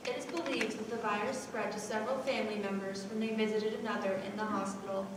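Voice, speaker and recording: Heard from far across a noisy hall, a serious female newsreader played by an actress reads a bulletin. Her mid-pitched voice is formal and scripted, part of a live stage drama. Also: steady tone, quick pace, close to an everyday voice. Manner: formal, reporting. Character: serious female news reporter reading a bulletin. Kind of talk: scripted newscast within a stage play. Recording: very echoey hall, distant mic, noisy.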